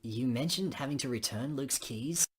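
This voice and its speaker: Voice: medium pitch, normal speed, husky, close to an everyday voice. A woman voicing a chatty, blokey young friend in a visual novel, teasing and full of Australian slang.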